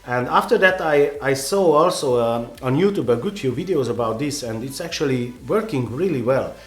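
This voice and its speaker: An earnest man with a Hungarian accent talks through his advice, voice pitched in the middle range, circling back with plenty of restarts and "you know"s. He sounds like he's in a roomy, echoing space, with faint music somewhere behind him. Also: expressive, moderate pace. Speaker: photographer giving advice. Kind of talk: casual advice vlog.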